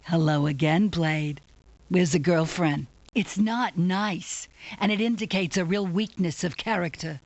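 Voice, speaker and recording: A woman's voice: lowish voice, measured pace, darkish tone, close to an everyday voice. A woman voicing a flirtatious, catty bar woman for a video game, with a coy, teasing, seductive delivery. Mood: scornful. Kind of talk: video game character acting.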